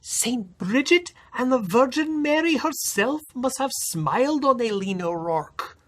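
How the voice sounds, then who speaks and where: Gossiping Irish village women, put-on Irish accent, raised pitch, bright, a man doing them. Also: animated, a little slow, exaggerated voice.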